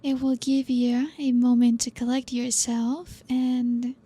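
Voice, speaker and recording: A woman voicing an elegant, teasing female vampire who welcomes a guest, in a soft ASMR roleplay delivery with a possessive edge. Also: fairly high pitch, little pitch movement, slow pace, husky. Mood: teasing, hospitable.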